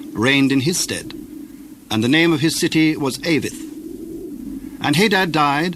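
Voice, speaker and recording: Measured male scripture narrator, mid-pitched. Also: fairly bright tone. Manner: measured, deliberate, with pauses between phrases. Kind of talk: solemn scripted Bible narration. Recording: older recording with faint background noise.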